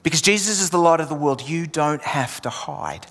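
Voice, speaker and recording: A man's voice: on the high side, normal speed, a bit bright. Australian man preaching in a reverberant hall. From England. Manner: emphatic, repeats refrains, drops to near whisper. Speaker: pastor. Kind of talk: sermon.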